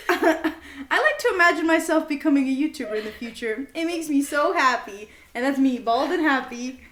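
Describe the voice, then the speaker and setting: A woman's voice: high-pitched, animated, moderate pace, a bit bright. A young woman co-hosting a podcast, chatting casually and giggling in a goofy, self-mocking way. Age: young adult. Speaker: podcast co-host. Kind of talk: casual chat between best friends, with bits of journal reading. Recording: roomy, echoey indoor sound.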